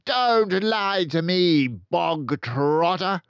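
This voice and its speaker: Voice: medium pitch, very animated, slow, no room sound, highs cut off, pitched above the performer's own voice. A reader voicing a tyrannical giant headmistress who barks and shrieks in a rage, in a storybook reading. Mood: raging. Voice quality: shrieking, barking.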